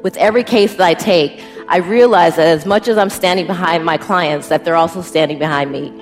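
Woman, American accent, fairly low pitch, guest speaker, earnest personal storytelling. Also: brisk, soft background music. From United States, Midwest. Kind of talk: earnest first-person account.

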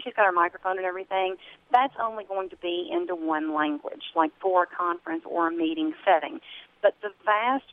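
A woman's voice: mid-range voice, no room sound. A woman from West Kentucky with a Southern American accent, a guest on a radio talk show who explains her trade in a folksy, confident way. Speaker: translation company owner, guest. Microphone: telephone. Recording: tinny phone line.

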